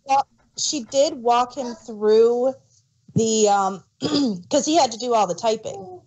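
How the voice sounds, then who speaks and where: A woman with a slightly high voice speaks at a slow pace in casual conversation. As a podcast co-host, she lays out her explanations in a measured manner. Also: expressive, a little mellow, husky, low-quality recording. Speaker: podcast co-host reviewing audio drama. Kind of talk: casual chat.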